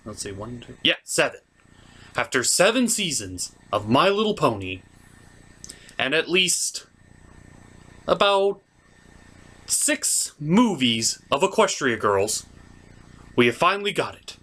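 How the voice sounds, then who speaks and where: A man hosting a comic movie review, narrating theatrically with dramatic pauses and emphasis. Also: a little high, big pitch swings, sharp tone, slightly rough.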